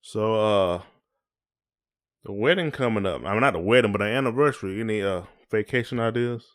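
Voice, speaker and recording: A middle-aged American man with a fairly low-pitched voice. He talks like a podcast host in a relaxed conversation, reflective and colloquial in his phrasing. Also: a little fast. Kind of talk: casual chat.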